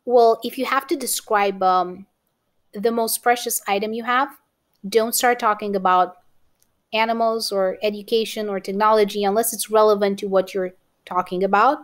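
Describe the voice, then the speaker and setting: A woman's voice: medium pitch, steady tone, normal speed. Romanian accent woman teaching exam prep, encouraging, keeps asking okay?. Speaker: English teacher. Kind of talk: exam-prep lesson to camera.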